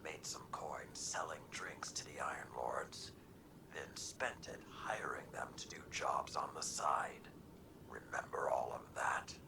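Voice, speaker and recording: A man playing an ancient, stern, weary warrior lord with a breathy growl, measured and brooding, in a video game cutscene. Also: rumbling low, in a whisper, exaggerated voice, low-quality recording. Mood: brooding, accusing.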